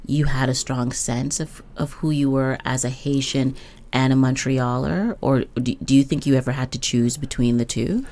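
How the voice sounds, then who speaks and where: A woman's voice: a bass voice, normal speed, warm tone. A woman hosting a conversational interview, reflective and probing, building her questions gradually. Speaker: host, interviewer.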